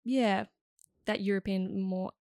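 A woman's voice: mid-range voice, steady tone, slow, a little mellow, dry recording. Young woman guest, early 20s, chatty with lots of ums and giggles, dry close mic. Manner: friendly, giggly, many fillers. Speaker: musician guest. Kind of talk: casual interview chat.

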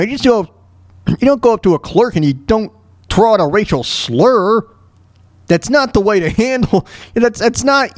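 A man with a high, very animated voice hosts a casual commentary show. He talks off the cuff in an exasperated manner, halting and doubling back on his phrases as his pitch jumps around. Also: no room sound, studio quality. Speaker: podcast host commenting on news clips.

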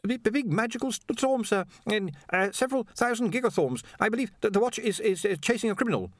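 A man's voice: sits high, animated, fast talker, no room sound, clean studio sound, pitched above the performer's own voice. A man voicing a nervous, deferential academic wizard who stammers and hesitates apologetically, in a storybook reading. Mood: flustered. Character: nervous, deferential male academic wizard, junior to his chief.

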